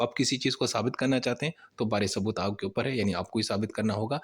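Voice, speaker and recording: A man with a Pakistani accent giving an informal explanatory law lecture in a conversational, step-by-step way. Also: lowish voice. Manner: conversational, explains each point by rephrasing it.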